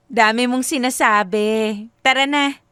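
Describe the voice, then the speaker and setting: A woman's voice: high-pitched, heavily acted. A woman playing a nervous, bashful young woman in a radio drama, flustered and hesitant as she hides her feelings. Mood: shy, defensive. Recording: music bed under the voice.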